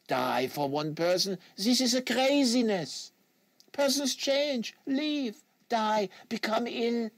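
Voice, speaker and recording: A man's voice: extremely high pitch, lively intonation, slow pace, dry recording, voice pushed up, heavily acted. A man voicing an earnest, lecturing assassin for an audiobook, with solemn rhetorical delivery and foreign phrasing. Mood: fervent, preaching. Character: earnest, lecturing French-Canadian male assassin in a wheelchair.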